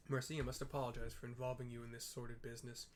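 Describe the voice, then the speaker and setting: A courteous noble from a fantasy game , read aloud by a man. The voice is medium in pitch, and the phrasing is formal and polite, that of a well-mannered ally. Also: little pitch movement, very fast, a little hiss or hum, close to an everyday voice. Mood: apologetic, courteous.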